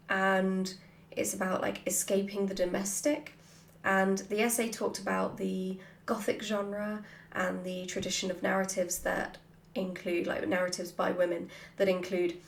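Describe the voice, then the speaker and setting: Gravelly-edged woman in an echoey room. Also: medium pitch. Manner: animated, thoughtful, chatty. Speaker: author answering follower questions. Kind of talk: casual Q&A chat. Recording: slightly roomy, echoey.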